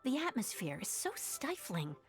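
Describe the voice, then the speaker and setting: A woman's voice: fairly high pitch, very animated, normal speed. A woman voicing an earnest young refugee in a video game, telling and explaining things in carefully articulated sentences. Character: earnest young female refugee from a closed island nation. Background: game music.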